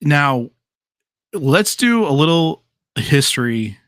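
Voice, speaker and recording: A man's voice: fairly high pitch, very animated, slow pace, a bit bright, no echo, clean studio sound. A man hosting a live podcast, chatting loosely and casually with a guest, laughing easily and using plenty of fillers. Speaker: podcast host.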